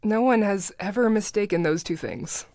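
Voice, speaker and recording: A woman's voice: mid-range voice, normal speed, a little mellow, dry recording, natural voice. A woman playing an exasperated, sarcastic seventeen-year-old camp counselor with dry comic timing in an audio sketch. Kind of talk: comedic audio sketch acting.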